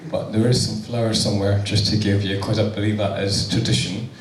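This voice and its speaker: A man with a husky voice giving an unprepared wedding thank-you speech as the groom, hesitant with many ums. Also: fairly low pitch, level tone, brisk, heavy background noise. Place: wedding reception hall with guests. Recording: echoey hall, distant mic, loud crowd.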